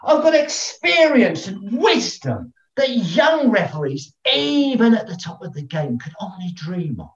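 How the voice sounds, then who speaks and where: An older man acting a pompous, eccentric veteran football referee in a filmed comedy, speaking in a deliberate, theatrical, self-important way. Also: high voice, sing-song, exaggerated voice. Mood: wounded, indignant. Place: room at home, on a video call. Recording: dull lo-fi video call sound.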